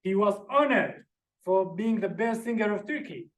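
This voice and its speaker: Guy lecturing from slides, high voice, earnest, stops to explain each word, echoey room. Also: animated, moderate pace, fairly bright tone. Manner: earnest, explains terms one by one. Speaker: visiting lecturer.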